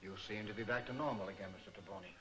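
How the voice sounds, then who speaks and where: A man playing a soft-spoken criminal mastermind professor in a 1940s movie serial, measured, formal and polite. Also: lowish voice, noisy background, natural voice. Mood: calm, courteous. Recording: old 1940s film soundtrack with music.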